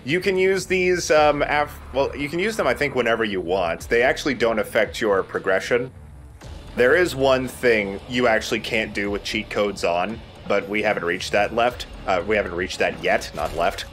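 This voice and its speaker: A man's voice: on the low side, normal speed, a bit bright, faint music behind. A man hosting a let's play with casual, conversational, explanatory commentary.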